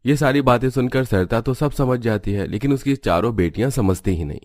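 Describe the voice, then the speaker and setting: A man's voice: medium pitch, a little fast, a little mellow, faint music behind. A man giving scripted narration for a cartoon story in Hindi.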